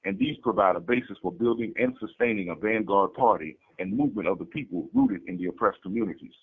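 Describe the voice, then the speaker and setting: A tinny, narrow-band recording carries a low-voiced man with a rough, husky edge. He reads a political statement in a formal, declarative way, setting out each point as scripted doctrine. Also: very animated, fast talker. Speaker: political activist reading a party strategy statement. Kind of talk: scripted reading of a political manifesto.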